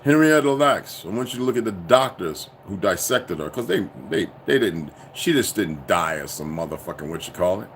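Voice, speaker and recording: A man's voice: deep voice, sing-song, moderate pace, few pauses, a bit bright, slight background noise. A man delivering a profane, preachy, insistent monologue to his viewers. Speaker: commentator.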